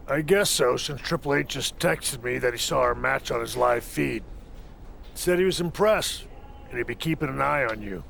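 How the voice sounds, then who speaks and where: Veteran wrestler mentor, game cutscene. Very gravelly, dry wit, proud, faint noise behind. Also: male voice, mid-range voice, moderate pace, fairly bright tone. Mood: wry, grudging respect. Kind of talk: video game cutscene acting.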